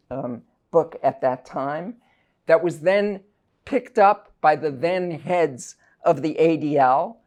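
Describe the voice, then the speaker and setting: A middle-aged man from South Africa, a university professor delivering a prepared lecture in a measured, deliberate, scholarly way. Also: fairly high pitch. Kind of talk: prepared academic lecture, read aloud.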